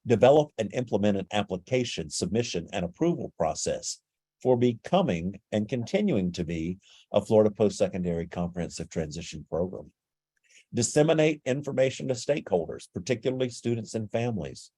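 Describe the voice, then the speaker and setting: A man with a stuffy, nasal voice presenting a webinar and explaining things in a steady way over a video call. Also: lowish voice, breathy edge, no echo.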